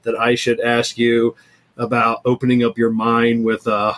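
Man, forties, medium pitch, talk show host, casual, joking, repetitive phrasing. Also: completely flat delivery, a little slow, fairly bright tone. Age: 40s. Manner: jokey, often doubles back and repeats words. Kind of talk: casual chat.

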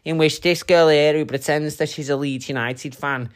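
A young man from Manchester speaks in a Mancunian accent. He co-hosts a relaxed couple's podcast, teasing, joking and swearing freely as he talks. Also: fairly high pitch, moderate pace. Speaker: podcast co-host. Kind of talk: casual chat.

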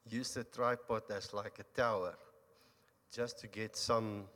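Halting man in a reverberant hall. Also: fairly low pitch, fairly even intonation. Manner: halting, many restarts, soft-spoken. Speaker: church member giving a testimony. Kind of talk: unscripted testimony in church. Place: church hall.

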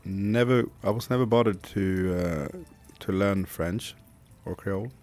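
Thirties male podcast host, Swedish accent, deep and slow, reflective and frank. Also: darkish tone. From Sweden. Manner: candid, reflective, swears casually. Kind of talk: personal monologue podcast.

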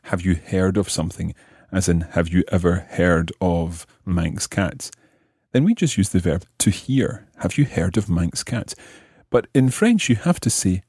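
Male teacher-host, very low and mellow, methodical explainer for a language lesson, very dry sound. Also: husky, dry recording. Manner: methodical, spells out and repeats phrases.

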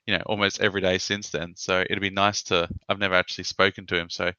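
An Australian man with an Australian accent, asking questions in a relaxed, casual interview chat. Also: low voice, fast talker, clean tone, no room sound. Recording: dull, dry sound.

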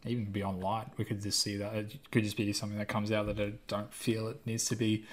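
Man, lowish voice, podcast co-host, casual, self-mocking. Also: completely flat delivery, a little fast. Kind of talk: casual gaming chat.

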